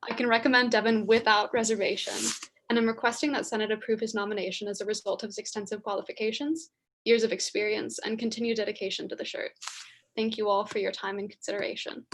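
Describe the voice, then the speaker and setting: A young woman with a soft voice, a student treasurer reading a formal nomination letter aloud. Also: mid-range voice, flat delivery, quick pace, slight background noise. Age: young adult.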